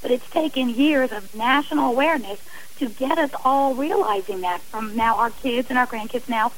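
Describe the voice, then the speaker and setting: A woman's voice: a little high, moderate pace, poor audio. A middle-aged woman giving earnest, passionate interview answers as a radio guest, speaking in long emphatic appeals. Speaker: radio interview guest, advocate against domestic violence. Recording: hissy radio interview audio.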